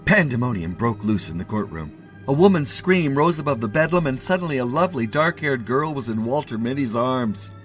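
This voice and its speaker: A man giving an expressive, theatrical reading of a literary short story as its narrator. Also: medium pitch, fairly quick, soft background music.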